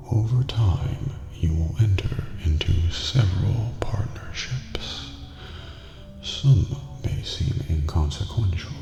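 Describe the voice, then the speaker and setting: Eerie, courteous elderly prison master for game ASMR: breathy, measured, unhurried, muffled in a long echo. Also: male voice, heavily acted. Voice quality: deep, breathy, creaky near-whisper. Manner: slow, formal, measured, cryptic. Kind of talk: scripted ASMR roleplay based on a video game. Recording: reverberant hall, muffled, music behind. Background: music.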